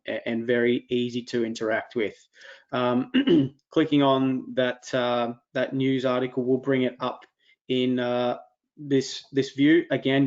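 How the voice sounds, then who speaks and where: A man walking through a software demo in a webinar, talking loosely with many ums and restarts. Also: mid-range voice, muffled recording. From Australia. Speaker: product presenter.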